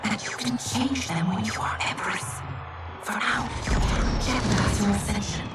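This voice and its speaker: An actress playing a cautious, admonishing royal sister in a video game, speaking in a measured way. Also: female voice, medium pitch, lightly acted. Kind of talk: cinematic video game acting. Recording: very echoey, distant, music behind.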